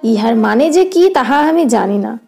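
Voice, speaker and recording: Lady reading an audiobook story, high soft-toned mellow voice, bit of guitar behind her. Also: lively intonation, a little slow, gravelly. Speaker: audiobook narrator. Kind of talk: scripted literary story narration. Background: plucked guitar music.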